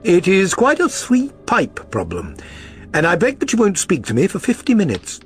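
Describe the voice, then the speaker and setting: A man voicing a brisk, self-assured gentleman detective in an audiobook, precise, probing and authoritative. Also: high voice, huge pitch swings, faint music behind. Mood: assured, decisive. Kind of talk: audiobook character reading.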